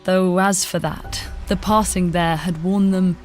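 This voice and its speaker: Female poetry reader, slightly low pitch, very unhurried, measured delivery. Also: fairly even intonation, darkish tone. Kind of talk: poetry recitation. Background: music.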